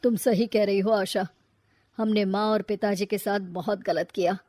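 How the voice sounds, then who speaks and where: A woman's voice: mid-range voice, steady tone, normal speed, a little mellow, dry recording, voice pushed down, close to an everyday voice. A woman voicing a middle-aged retired father in a cartoon moral story. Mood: remorseful.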